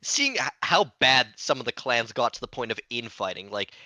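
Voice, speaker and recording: Lively, bright-voiced man debating casually. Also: medium pitch, moderate pace, dry recording. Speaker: book club podcast panelist. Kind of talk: casual group book discussion.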